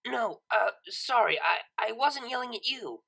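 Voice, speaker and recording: Young female unicorn DJ for story audio, acted by the narrator: raised pitch, jittery, frustrated, stammering. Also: lively intonation, unhurried, vocal fry, pushed and bright, husky, exaggerated voice, rough recording. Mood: flustered, apologetic. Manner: stammering, abrupt.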